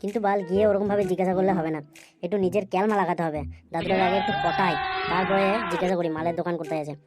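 A woman's voice: on the low side, fairly even intonation, rapid-fire, over loud music, close to an everyday voice. A woman voicing a hapless, wheedling, crude young male boozer in a comedy cartoon. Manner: pleading, grumbling, repetitive. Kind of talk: comedy cartoon dialogue and monologue.

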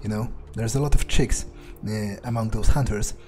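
A man's voice: fairly low pitch, steady tone, moderate pace, darkish tone, faint music behind. A man thinking aloud in character during a video game playthrough, in a hesitant, musing way. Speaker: gamer role-playing in character.

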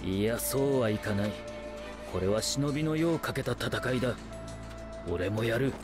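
A composed young anime game commander, voiced by a man, stern and deliberate in delivery. The voice sits at a middle pitch with clear music behind it. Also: close to an everyday voice. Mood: serious, analytical. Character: serious, composed young male ninja commander. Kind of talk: Japanese anime game voice acting. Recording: background music bed.

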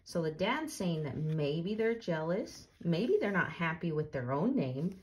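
A woman's voice: lowish voice, sing-song, measured pace, slight background noise. A woman reading a storybook aloud and casually explaining it in simple words for children.

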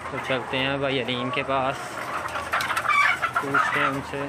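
A young man, from Pakistan, strolls through a big poultry shed with his phone held at arm's length, chatting casually to his viewers as he shows them around. His voice sits in a middle pitch, and a heavy wash of noise fills the recording behind him. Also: monotone, a little slow. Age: young adult. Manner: cheerful, greets workers and addresses viewers as friends. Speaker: vlogger giving a farm tour. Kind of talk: casual walking tour commentary. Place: large poultry shed with rows of caged hens. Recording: loud background noise from the shed.

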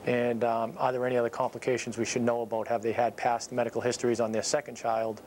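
A man in his thirties giving an informal training talk as an instructor, explaining things in a halting, matter-of-fact way. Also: mid-range voice, monotone, darkish tone, a little hiss or hum, poor audio. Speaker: paramedic instructor. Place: small dispatch office, indoors. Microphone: clip-on lapel mic.

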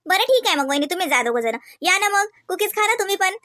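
A woman voicing a homely housewife hostess in a comedy cartoon, polite on the surface and privately sharp-tongued. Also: very high voice, big pitch swings, fast talker, no room sound, studio quality, full character voice. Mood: polite, slightly put out. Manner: polite and chatty, with catty muttered asides. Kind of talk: exaggerated cartoon comedy dialogue.

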